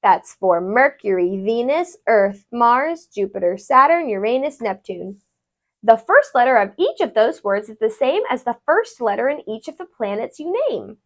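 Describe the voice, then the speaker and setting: Animated high-voiced woman reading to children. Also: moderate pace. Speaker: tutor reading a children's book aloud. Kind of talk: animated children's read-aloud of a rhyming book.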